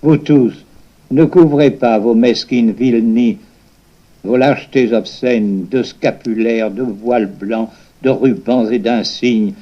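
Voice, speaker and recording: A man recites sacred poetry in a solemn, sermon-like style. His delivery is very slow and declamatory, each phrase deliberately set apart and pressed on the listener. The pitch sits in a medium male register, and the recording is somewhat dull and lo-fi. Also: fairly even intonation. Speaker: reader reciting a religious poem.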